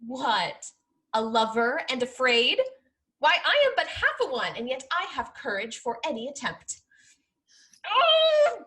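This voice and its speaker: Woman playing a bold, high-voiced mischievous young lady. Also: wildly swooping pitch, a little slow, bright, forceful tone, heavily acted. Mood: excited, daring. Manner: theatrical, emphatic, archaic diction. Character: bold, mischievous young Spanish gentlewoman, scornful of men. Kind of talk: period stage comedy acting.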